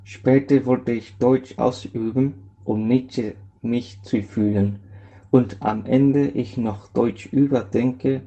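A man's voice: mid-range voice, fairly even intonation, slow pace, warm tone. A male language student giving a prepared short statement in a lo-fi clip. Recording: dull lo-fi student audio clip.

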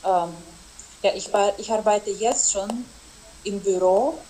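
A woman's voice: medium pitch, slow, dark tone, a little hiss or hum, rough recording. Young woman with a Russian first language. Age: young adult. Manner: hesitant, with frequent fillers. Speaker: interview guest, exchange student. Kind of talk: casual interview answers.

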